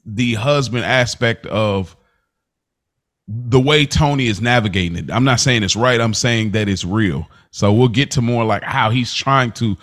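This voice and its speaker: A man co-hosting a casual podcast, rambling and animated as he acts out scenes from the show. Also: medium pitch, unhurried. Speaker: podcast co-host. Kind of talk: casual chat.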